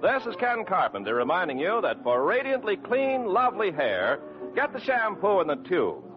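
High, animated American radio announcer man. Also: moderate pace, over music. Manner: polished, upbeat salesmanship. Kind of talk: 1940s radio ad and credit announcing. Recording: muffled old radio broadcast.

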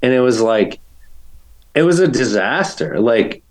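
A man's voice: mid-range voice, very animated, a little slow. A man in his forties, a podcast guest telling race stories in a rambling, self-mocking way full of fillers. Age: 40s. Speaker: guest runner. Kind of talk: casual chat, telling stories.

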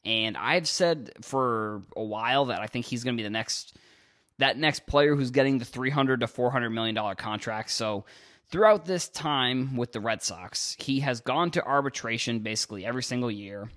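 A man's voice: mid-range voice, normal speed, a bit bright. A young man from Cape Cod, hosting a casual solo sports podcast, rambling and restarting his sentences. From Cape Cod, Massachusetts. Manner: rambling, restarts sentences, stumbles over words. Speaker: podcast host.

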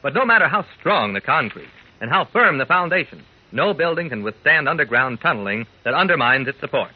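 Husky, animated vintage radio man. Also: a little high, fairly quick. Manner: earnest, folksy, emphatic. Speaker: radio announcer. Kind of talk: 1940s radio public-service talk to young listeners. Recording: muffled old radio recording.

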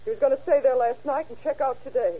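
A woman's voice: high voice, quick pace, slightly rough. An actress playing a guarded, slangy woman under police questioning in an old radio crime drama. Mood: grudging, nervous. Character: tough, evasive young woman questioned by police. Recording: muffled lo-fi old radio recording.

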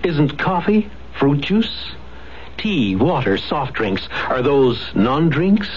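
Muffled radio spot, lowish male announcer, rhetorical, questioning, animated intonation. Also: slow. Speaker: public service announcer. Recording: muffled, noisy 1970s radio broadcast.

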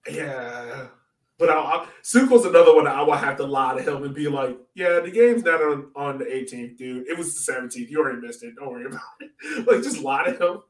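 A man's voice: mid-range voice, lively intonation, a little fast. A man co-hosting a sports podcast, chatting casually about soccer in an informal, joking way. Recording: roomy echo, distant from mic.

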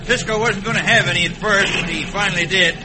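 An actor as high-voiced scheming Western boat villain. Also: male voice, fairly even intonation, quick pace, natural voice. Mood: urgent, ruthless. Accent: American. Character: scheming, smooth-talking villainous boat skipper. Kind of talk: classic radio western acting. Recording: noisy old radio recording with rumble.

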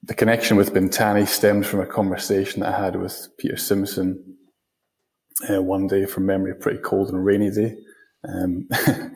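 A Scottish man from Scotland, a guest answering interview questions in a plain, measured way. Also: low-pitched, darkish tone. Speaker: guest, operations manager.

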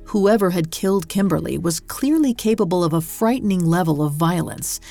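Low-voiced woman narrating true crime. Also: expressive, normal speed, vocal fry, dark tone. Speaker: podcast host and narrator. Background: music.

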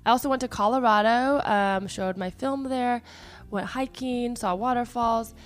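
A woman hosting a podcast in a casual, chatty way, her voice hoarse and partly lost after travel, with many fillers. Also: fairly high pitch, moderate pace, faint music behind. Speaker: podcast host.

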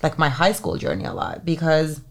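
A woman's voice: low-pitched, big pitch swings, normal speed. A woman in her thirties from Finland, an entertainment lawyer talking as a podcast guest in a chatty way, full of "like" and "um". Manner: chatty, heavy use of "like" and "um" fillers. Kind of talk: casual interview chat.

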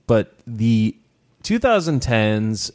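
A man's voice: on the low side, very animated, takes it slowly, darkish tone. A man hosting a movie podcast, chatting casually and rambling, often laughing and restarting his sentences. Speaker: podcast host.

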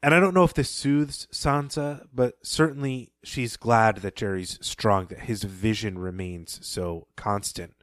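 A lower-pitched man retells a story's plot on a podcast with a measured, thoughtful delivery. His voice sits close to the mic with no room echo, dry and intimate. He breaks into soft laughs now and then. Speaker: podcast co-host. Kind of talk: casual book discussion and plot recap.

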